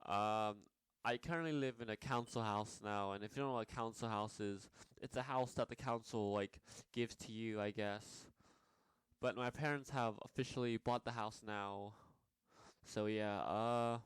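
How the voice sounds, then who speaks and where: Young male vlogger from Nepal, low voice, very clear and dry, halting and full of fillers. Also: dry recording, poor audio. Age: young adult. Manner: hesitant, many fillers and restarts. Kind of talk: rambling personal vlog.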